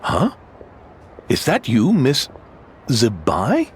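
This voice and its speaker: A man's voice: medium pitch, wildly swooping pitch, extremely slow, a little hiss or hum, heavily acted. An actor playing a cheerful, devout old innkeeper grandfather in a video game, talking in a hearty, hospitable way. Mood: hearty, welcoming. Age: older.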